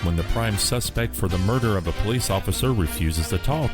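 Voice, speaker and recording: A man's voice: lowish voice, brisk. A man presenting a radio programme in a scripted announcing style. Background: loud swing music.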